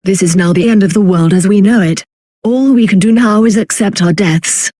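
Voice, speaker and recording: A woman's voice: mid-range voice, moderate pace, warm tone, dry recording. A woman reading a scripted, formal emergency broadcast announcement.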